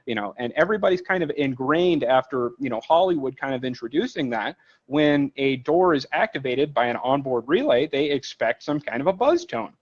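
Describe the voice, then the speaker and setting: A man with a medium-pitched voice leads a technical product tutorial in a conversational way. He explains things informally, wandering through everyday examples like a trainer at ease with the material. The recording is somewhat dull and lo-fi. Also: animated, a little fast.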